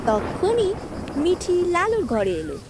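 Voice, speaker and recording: A woman's voice: extremely high pitch, wildly swooping pitch, slow, background noise. A woman reading scripted narration for a children's fairy tale.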